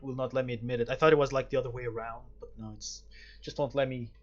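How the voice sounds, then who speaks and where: A man's voice: mid-range voice, little pitch movement, quick pace, highs cut off. A young man doing casual, wry and reactive commentary as he plays a horror game. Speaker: gamer playing a horror visual novel. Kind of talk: casual let's play commentary.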